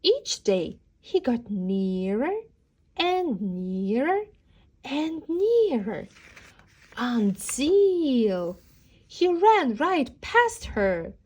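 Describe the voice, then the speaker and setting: A woman's voice: high-pitched, big pitch swings, drawn-out words, darkish tone, husky. A woman narrating a children's storybook as a teacher would, expressively, with dramatic emphasis on key words. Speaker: teacher reading aloud.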